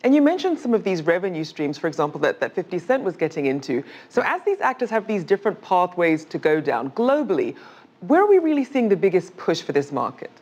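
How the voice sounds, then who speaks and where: Fast, lively woman interviewer, slightly dull sound. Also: on the low side. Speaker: interviewer, news host. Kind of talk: broadcast interview questioning.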